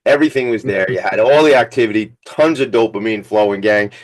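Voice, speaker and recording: A man hosting a radio talk show with a slightly hoarse, scratchy voice, talking in an energetic, rambling, hype-man way. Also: fairly low pitch, a little fast. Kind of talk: casual hype radio chat.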